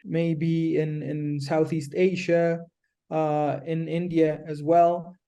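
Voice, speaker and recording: Mellow-voiced Colombian man. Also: a little high, flat delivery, gravelly. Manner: measured, explanatory, frequent restarts. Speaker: guest. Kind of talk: conversational interview answers.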